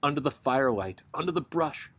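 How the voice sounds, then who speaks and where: A man giving a dramatic poetry recitation, reading in a deliberate, theatrical manner. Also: medium pitch, animated, fairly bright tone. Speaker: poetry reader, host.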